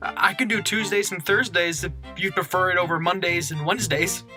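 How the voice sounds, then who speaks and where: A woman's voice: fairly low pitch, fairly even intonation, a little fast, music in the background, natural voice. A woman playing a humble, earnest small-town baker in a comic audio play, courteous and nervous. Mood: nervous, modest.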